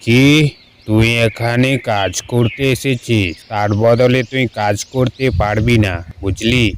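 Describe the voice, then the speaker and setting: A man playing a gruff, dismissive village employer in a cartoon, brusque and scolding. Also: mid-range voice, fairly even intonation, a little slow, slight background noise, close to an everyday voice.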